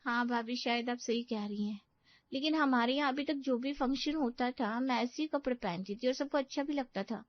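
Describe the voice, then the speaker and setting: A woman's voice: on the high side, normal speed, lightly acted. A woman voicing a naive young sister-in-law. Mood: earnest. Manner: soft, earnest. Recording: dull dry recording.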